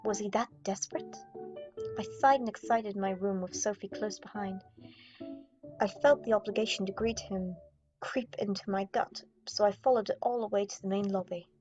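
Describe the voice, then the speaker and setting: A woman's voice: medium pitch, normal speed. A young woman gaming YouTuber reading visual novel narration aloud in a dramatic storytelling style. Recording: dull audio, music behind. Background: game music.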